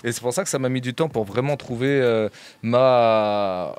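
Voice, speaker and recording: A man's voice: medium pitch, normal speed, clear, resonant tone, dry recording. Chatty Parisian man in his thirties. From France. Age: 30s. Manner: relaxed, chatty, slangy, laughs easily. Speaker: rapper guest. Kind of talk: casual radio interview.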